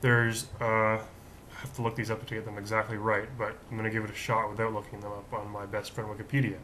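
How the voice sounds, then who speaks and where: A man with a deep voice hosting his own daily vlog, rambling in a chatty, self-mocking way with lots of fillers. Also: flat delivery, fast, bright, forceful tone, a little hiss or hum. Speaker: vlog host. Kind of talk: casual rambling vlog monologue.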